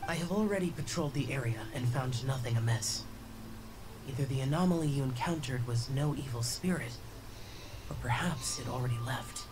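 A man's voice: mid-range voice, brisk, noisy background, close to an everyday voice. A man voicing an aloof, stern young immortal demon slayer in a game, terse and formal. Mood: aloof, curt.

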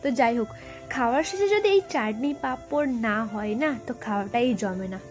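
A woman's voice: fairly high pitch, animated, moderate pace, soft background music. A young woman narrating a casual family vlog, chatting directly to her viewers. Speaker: vlogger.

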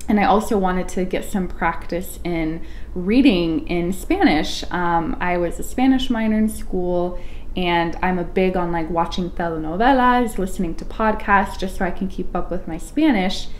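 A woman's voice: on the low side, moderate pace, a little hiss or hum, rough recording. A young woman in her twenties chatting to camera about a novel, with many ums and you knows. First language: English. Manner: chatty, frequent "um" and "you know", animated hand gestures. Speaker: book vlogger. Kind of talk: casual book review to camera. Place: small room at home, indoors.

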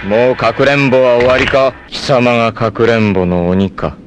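An actor playing a fierce, proud martial artist in an anime, with an intense, theatrical delivery. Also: male voice, mid-range voice, noisy background, natural voice. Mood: menacing, furious. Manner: intense, theatrical, from cool measured speech to anguished shouts. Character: fierce, proud martial artist hunting his sister's abductor. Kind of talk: dramatic anime acting.

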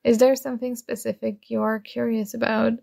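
A woman's voice: a little high, level tone, brisk, gravelly, dark tone. A woman playing a shy, nerdy young student flustered by her crush in an ASMR roleplay, speaking hesitantly and awkwardly.

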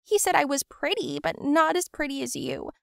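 A woman's voice: lively intonation, takes it slowly, creaky, fairly bright tone, no room sound, clean studio sound, full character voice. Woman voicing a tearful insecure young woman. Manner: pleading, high and emotional.

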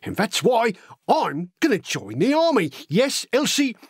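A man playing an excitable, high-pitched, gullible teenage lad. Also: measured pace, breathy edge, no room sound, studio quality, full character voice. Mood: excited, outraged. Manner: excitable, earnest, colloquial storytelling. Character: eager, gullible teenage lad itching to be a soldier. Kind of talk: acted children's audiobook monologue.

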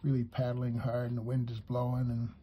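A man giving an unhurried, step-by-step drawing lesson for kids, talking as he draws and pausing mid-sentence, with a husky voice. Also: mid-range voice, monotone, lo-fi sound, slight background noise. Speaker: drawing teacher.

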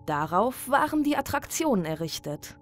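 A woman narrating a scripted horror story in a measured, dramatic delivery. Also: a little high, sing-song. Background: music.

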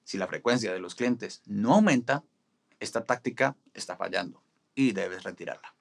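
A man in his forties explaining restaurant marketing to camera in a studio, with a steady, instructive delivery. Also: fairly low pitch, normal speed, gravelly. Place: studio in front of a green screen.

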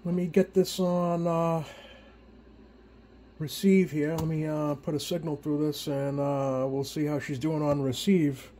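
A man giving a casual, unscripted hands-on demonstration to viewers, halting and thinking aloud as he works. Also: a little high, level tone, warm tone, a little hiss or hum. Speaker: radio repair hobbyist, video host. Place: indoor home electronics workbench.

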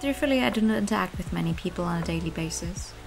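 A woman's voice: fairly low pitch, expressive, quick pace, creaky, soft background music, poor audio. A woman playing a reserved, melancholy female driver who speaks formally and precisely in a fan-dubbed visual novel. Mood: reserved, somber.

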